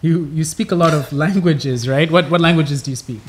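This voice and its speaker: A male host in a relaxed interview, with a voice a little on the high side. He asks questions and keeps encouraging his guests. He fills their pauses with frequent mm-hmms. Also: slight background noise. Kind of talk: casual interview chat.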